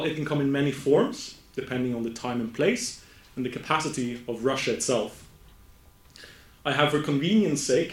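A man from Norway with a Norwegian accent giving a conference presentation, speaking slowly and carefully and often correcting himself mid-word. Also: mid-range voice. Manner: slow, careful delivery with frequent self-corrections. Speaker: academic speaker. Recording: echoey room.

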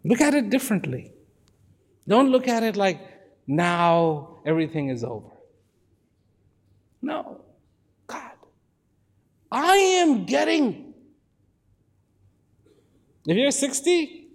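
Slow, lively-pitched man addressing an audience. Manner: repetitive, emphatic, drops to near whispers. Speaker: speaker. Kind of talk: slow reflective talk to an audience.

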